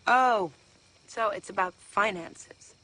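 A mocking, exasperated woman in a film argument. She speaks slowly and pointedly, with a bright, sharp edge and plenty of sarcasm. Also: medium pitch, low-quality recording. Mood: mocking, indignant. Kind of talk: naturalistic film dialogue.

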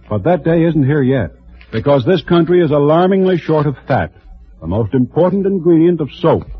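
A man's voice: medium pitch, breathy edge. An American man announcing commercials in 1940s radio style, with a folksy, punchy sales delivery. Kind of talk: 1940s radio advertising pitch. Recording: tinny vintage radio, music behind.

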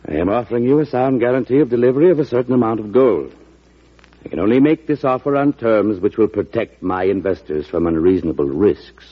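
Assured male banker, formal and firm, husky, precise delivery, dull vintage radio recording. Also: on the low side, fairly quick. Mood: grave, firm. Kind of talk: old-time radio drama acting.

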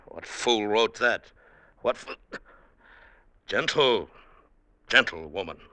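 An actor playing a quarrelsome, greedy elder-brother farmer in an old-time radio drama, with theatrical, formal phrasing. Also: male voice, lowish voice, animated, slow pace, pushed and bright, no echo, full character voice. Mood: irritated, puzzled.

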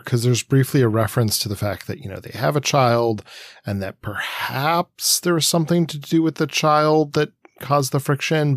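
A man co-hosting a podcast, explaining his reading of a story in a measured, analytical, conversational way. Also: on the low side, no room sound. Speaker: podcast co-host. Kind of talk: conversational literary discussion of a comic.

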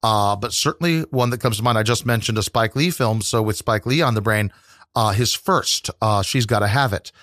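Thoughtful low-voiced New man. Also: moderate pace, fairly bright tone, no echo, studio quality. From New York. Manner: thoughtful, rambling with many fillers. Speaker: interview guest, New York tour guide. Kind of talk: casual interview conversation.

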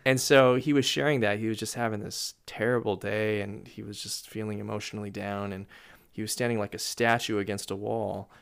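A man in casual podcast conversation, telling a story with laughs and lively asides. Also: lowish voice, fairly quick, creaky, clear, resonant tone. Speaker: guest speaker.

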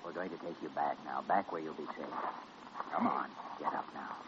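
An actor playing a calm, reassuring hospital doctor who questions gently, in old-time radio drama style. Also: male voice, very deep voice, normal speed. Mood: gentle, probing. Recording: dull, noisy vintage radio recording.

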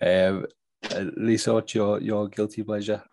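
Deep-voiced jokey male host. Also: level tone, unhurried, darkish tone, slight background noise. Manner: jokey, laughs often, stumbling starts. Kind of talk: casual chat over video call. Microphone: video call.

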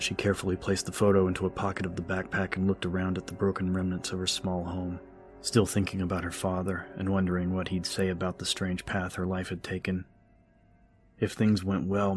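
A man's voice: sits low, monotone, fairly quick. A man narrating a scripted horror story as an audiobook narrator. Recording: music under the voice. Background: music.

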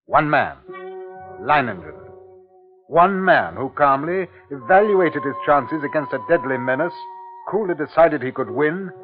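An actor playing an uneasy, awestruck district commissioner in a measured, grave 1950s radio drama style. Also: male voice, a little high. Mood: haunted, fascinated. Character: uneasy, awestruck male colonial district commissioner recounting his ordeal. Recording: tinny, band-limited 1950s radio recording, music behind.

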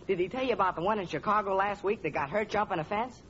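A man's voice: high-pitched, fast talker, fairly bright tone. A young male actor playing a polite, guileless teenage boyfriend in a 1940s radio sitcom, earnest and matter-of-fact. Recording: dull, faintly noisy old radio recording.

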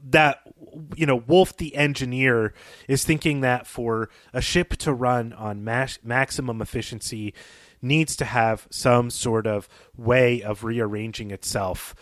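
A man's voice: medium pitch, steady tone, a little slow, fairly bright tone. A man with a mid-range voice speaks as a podcast co-host, captured dry and free of echo. He discusses fiction conversationally, explaining and analysing its details as he goes.

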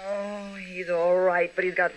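A woman's voice: fairly low pitch, flat delivery, a little slow, lightly acted, poor audio. An actress playing a slangy, good-hearted gangster's girlfriend with an American accent in an old-time radio drama. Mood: groggy, chatty. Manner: slangy, tough-talking, chummy. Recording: vintage radio broadcast sound.

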